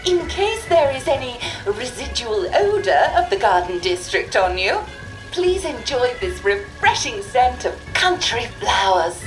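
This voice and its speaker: Casting: a sweetly officious female announcer, high and sing-song, formal instructions over clear music. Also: sing-song, measured pace, low-quality recording. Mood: sugary, officious. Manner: sugary, formal, polite. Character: saccharine, officious female announcer at rehabilitation centre.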